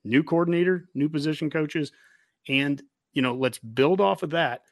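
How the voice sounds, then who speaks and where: A man's voice: a little high, normal speed, no echo. An American man hosting a casual sports fan discussion, repeating phrases emphatically to make his point. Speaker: sports fan podcast host.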